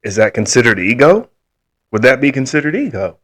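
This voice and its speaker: A man's voice: mid-range voice, very animated, brisk, fairly bright tone. A man co-hosting a podcast, casually asking probing questions and pushing back on his partner's points. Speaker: podcast co-host.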